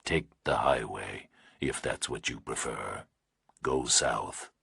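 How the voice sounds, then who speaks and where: A menacing American kidnapper on the phone, as a male narrator puts him on, speaking in an extremely deep, gravelly whisper. He is patient and in command, stretching out every word with cold calm in a thriller audiobook. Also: no echo, clean studio sound, exaggerated voice. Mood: calm menace. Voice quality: slow, deep, gritty, whispery.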